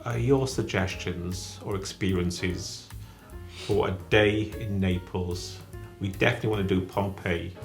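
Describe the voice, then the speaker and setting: Gravelly low-voiced man chatting. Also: soft background music. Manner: chatty, halting, self-correcting. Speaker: travel vlogger. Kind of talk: casual chat.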